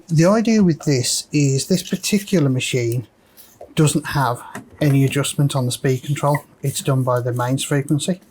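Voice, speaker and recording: A man's voice: mid-range voice, a little mellow, a little hiss or hum. A man hosting a casual, unscripted repair walkthrough, thinking aloud hesitantly as he works. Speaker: host of a repair video.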